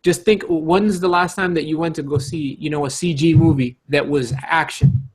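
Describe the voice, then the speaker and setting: A man speaks in a fairly high register as a panelist in a casual debate. He argues insistently, often repeating the start of a phrase to press his point. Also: fairly even intonation, moderate pace, breathy edge. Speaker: podcast panelist.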